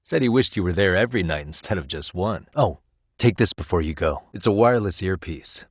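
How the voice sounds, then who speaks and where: Deep-voiced, wry detective. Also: male voice, expressive, brisk, husky, dry recording, clean studio sound, full character voice. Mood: businesslike, reassuring. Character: wry, dogged male private detective, streetwise and cool-headed. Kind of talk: English video game voice acting.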